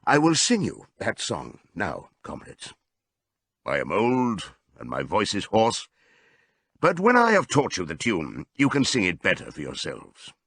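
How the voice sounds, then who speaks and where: A man voicing an old male pig in an audiobook, giving a solemn, deliberate, rousing speech to his comrades. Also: fairly low pitch, huge pitch swings, a bit bright, no echo, full character voice. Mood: grave, reminiscing. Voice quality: hoarse as scripted old voice. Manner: oratorical, deliberate.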